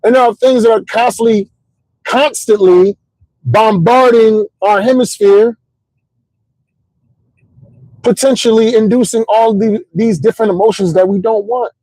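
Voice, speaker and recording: A middle-aged man hosting a casual livestream, rambling in an animated, motivational way with lots of repeats and "you know what I'm saying". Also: high-pitched, a little slow, long pauses, dry recording, poor audio. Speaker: host.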